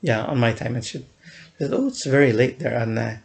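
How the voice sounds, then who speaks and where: A man's voice: medium pitch, brisk, creaky. A young man casually recounting a fan event in a vlog, rambling with many fillers and restarts, often chuckling. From United States.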